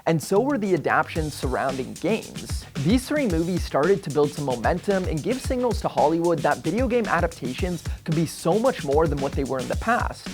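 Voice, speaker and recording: Video essay host reading a script, mid pitch voice, bit of music behind. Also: sing-song, normal speed, few pauses, a little mellow.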